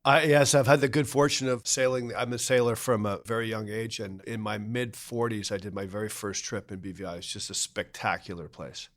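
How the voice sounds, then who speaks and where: A man's voice: lowish voice, level tone, brisk, hardly any pauses. A middle-aged man hosting a podcast interview, asking friendly, leading questions. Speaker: podcast host.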